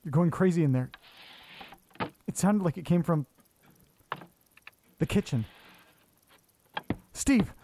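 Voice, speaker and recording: An actor playing an anxious, lonely, self-doubting man who talks haltingly to himself in a horror audio drama. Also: fairly high pitch, animated, dark tone, a little hiss or hum. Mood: tense, searching. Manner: halting, muttering, talking to himself. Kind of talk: audio drama monologue, naturalistic acting.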